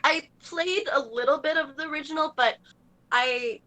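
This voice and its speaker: A woman's voice: high voice, normal speed, bright, forceful tone, low-quality recording. Bubbly, gushing young woman. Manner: bubbly, gushing, fills speech with "like". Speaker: cosplayer guest. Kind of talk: casual podcast chat.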